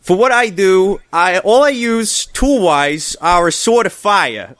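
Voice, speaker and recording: Man reading like a lively pitchman. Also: takes it slowly, no echo. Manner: mock pitchman delivery while reading. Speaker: podcast co-host reading an article aloud. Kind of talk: comedic podcast reading with banter.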